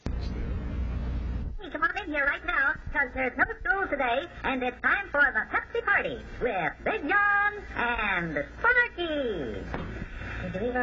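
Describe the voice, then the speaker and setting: A performer voicing an excitable, playful little boyish character on a 1950s children's radio show, gushing and exclaiming. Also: mid-range voice, close to an everyday voice. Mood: excited, playful. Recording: muffled, noisy 1953 radio broadcast on tape.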